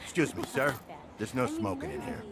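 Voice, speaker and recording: Man playing an animated, easygoing cop. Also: medium pitch, normal speed, heavy background noise, lightly acted. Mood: casual, mild. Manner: casual, mild, polite. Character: polite, easygoing male police officer off guard. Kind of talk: naturalistic game acting.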